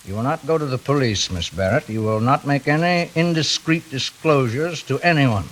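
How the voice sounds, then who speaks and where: A man's voice: medium pitch, normal speed, natural voice. A man playing a menacing fugitive intruder, formal, commanding and icily courteous, in 1940s radio drama style. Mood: quiet menace. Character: cold, menacing male fugitive intruder, mockingly polite. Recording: faintly hissy vintage radio recording.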